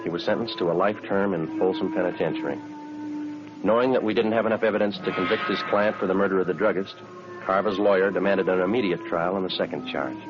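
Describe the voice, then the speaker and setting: An actor playing a stoic American police detective, narrating a vintage radio drama in a clipped, matter-of-fact way. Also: male voice, low voice, quick pace, natural voice. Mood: flat, businesslike. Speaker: lead actor and narrator of the series. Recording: dull vintage radio recording with music.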